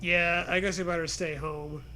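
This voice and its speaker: A man trading casual comedic banter and reading aloud, joking in a deadpan, profane way. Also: a little high, moderate pace, a little hiss or hum, poor audio. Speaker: co-host of a comedy reading show.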